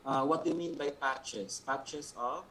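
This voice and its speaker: A man with a Filipino accent explaining a lesson as an art instructor, deliberately, repeating words and checking with short tags. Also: fairly high pitch, fairly bright tone, slight background noise. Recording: distant, off-mic sound.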